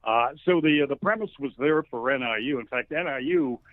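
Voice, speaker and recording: A man's voice: mid-range voice, fairly quick, no room sound. American man in his sixties on a phone line. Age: 60s. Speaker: radio play-by-play announcer as guest. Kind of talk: conversational interview. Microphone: telephone.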